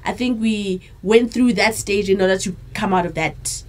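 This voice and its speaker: A woman in her thirties, a band member, chatting in an easygoing way during an interview. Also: medium pitch. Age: 30s. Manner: easygoing, chatty, quick to laugh along. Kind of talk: casual interview chat.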